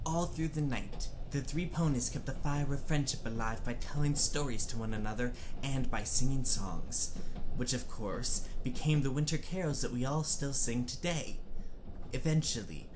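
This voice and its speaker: A man's voice: mid-range voice, close to an everyday voice. A man playing a cheeky, theatrical young male dragon with a showy way of talking, in an amateur audio drama on a noisy microphone. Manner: grandiose storytelling, showy.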